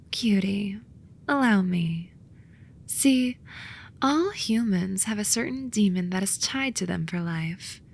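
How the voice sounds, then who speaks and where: A woman voicing a soothing but snarky sloth demon in a romantic ASMR roleplay, soft and coaxing with a dry edge. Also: mid-range voice, animated, takes it slowly, gravelly, pauses often, heavily acted. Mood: sarcastic, explaining. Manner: soft, coaxing, dryly sarcastic, sometimes whispery.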